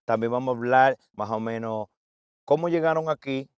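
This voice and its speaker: A man's voice: mid-range voice, normal speed, clean tone, no room sound, studio quality. A man hosting a casual interview, upbeat, dropping English words into his Spanish. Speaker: host. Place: USA.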